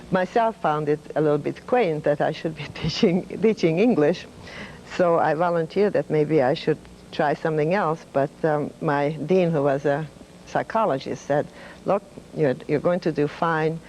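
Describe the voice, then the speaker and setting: An older woman from Hungary with a Hungarian accent, a retired professor telling her life story in a measured way, with many fillers. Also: deep voice, a little hiss or hum, low-quality recording. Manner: measured, with fillers and soft laughs. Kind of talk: reflective storytelling.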